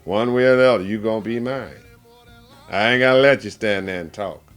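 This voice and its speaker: A man with a very low, deep voice talks about performing as a blues singer and guitarist. He speaks emphatically and colloquially, acting out his points as he goes. Soft music plays faintly behind him. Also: a little fast. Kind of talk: casual interview.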